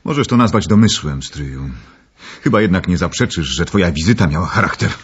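Low, husky young gentleman. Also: expressive, a little fast, full character voice. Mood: guarded. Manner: polite, restrained. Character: reserved, loyal young gentleman nephew. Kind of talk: radio drama acting. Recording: dull, muffled recording.

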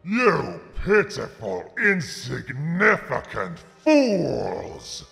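A man voicing a villainous, gloating sea sorcerer in a fan audio drama, theatrical and menacing, with a gravelly, husky voice. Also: on the high side, huge pitch swings, very slow, a bit bright, no room sound, faint music behind, heavily acted. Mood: booming contempt. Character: gloating villainous sea sorcerer with tentacles, male, grown giant.

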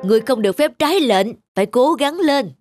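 Commanding cartoon emperor. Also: female voice, high voice, lively intonation, a little fast, darkish tone. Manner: formal, imperious. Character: stern male emperor, regal and commanding. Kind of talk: cartoon folk-tale dubbing. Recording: music behind the voice.

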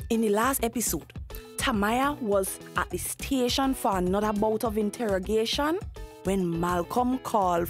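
An actress with a Tobagonian accent playing a wry, gossipy storyteller recapping a radio drama. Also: female voice, on the high side. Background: music.

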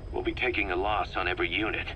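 A man's voice: sits low, fairly even intonation, fast, muffled recording, natural voice. An actor playing a wry, businesslike military man with an exasperated edge and casual phrasing, in a video game. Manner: casual, wry, hesitant asides. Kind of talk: scripted video game drama. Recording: faint background noise.

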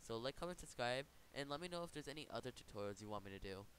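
Man, tutorial host, medium pitch, casual rambling delivery, self-correcting, computer microphone, faint hiss. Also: lively intonation, very fast, fairly bright tone. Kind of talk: informal screen-recorded software tutorial. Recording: noticeable background hiss.

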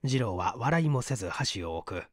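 A man's voice: medium pitch, expressive, normal speed, no room sound. A man reading literary fiction aloud as scripted audiobook narration. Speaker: audiobook narrator, stage actor.

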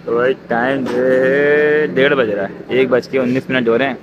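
A man's voice: mid-range voice, fairly even intonation, normal speed, muffled recording. A young man with a Pakistani accent chatting casually to his vlog audience, slangy and complaining, on a handheld phone. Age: young adult. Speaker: travel vlogger. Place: outdoors at roadside workshops and in a parked car. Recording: noisy roadside with vehicle and wind noise.

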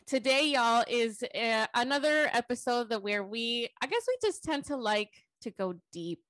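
A woman's voice: a little high, lively intonation, moderate pace, fairly bright tone, dry recording. A young woman co-hosting a podcast in casual, informal, chatty talk. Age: young adult. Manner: informal, chatty, says y'all. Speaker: podcast co-host. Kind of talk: casual chat. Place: small bedroom at home, video call.

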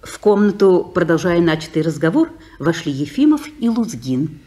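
A woman narrating a vintage radio play, reading the stage directions plainly. Her voice sits a little low but moves lively in pitch. She keeps her delivery crisp and matter-of-fact. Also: normal speed. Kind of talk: scripted radio drama narration.

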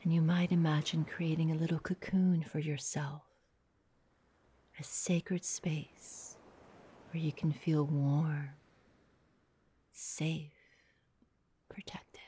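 Breathy, half-whispered woman guiding meditation. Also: sits low, level tone, mellow. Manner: soothing, unhurried with long pauses. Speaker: meditation guide. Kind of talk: guided meditation, soft hypnotic narration.

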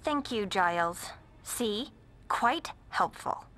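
A woman's voice: mid-range voice, animated, slow, bright, forceful tone. An actress playing a vain, sarcastic popular teenage girl in a video game, snippy and self-satisfied. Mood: smug, sarcastic.

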